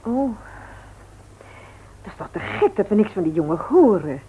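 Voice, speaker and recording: A woman's voice: mid-range voice, sing-song, moderate pace, dark tone, husky. An actress playing a worried, scolding middle-aged mother in a Dutch radio play. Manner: fretful, reproachful, motherly. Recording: muffled phone-like old radio recording.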